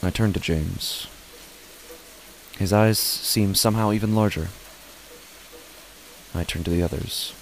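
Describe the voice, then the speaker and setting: A man playing a father who narrates an uneasy horror story in measured, deliberate short phrases. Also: low voice, steady tone, rough recording. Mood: sombre, tense. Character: father narrating his family's uneasy stormy night. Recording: faint hiss behind the voice.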